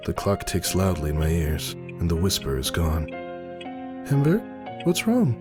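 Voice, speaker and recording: A man narrating a first-person story dramatically, in a brooding, measured and theatrical delivery. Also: extremely low pitch, fairly even intonation. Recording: music behind the voice. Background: music.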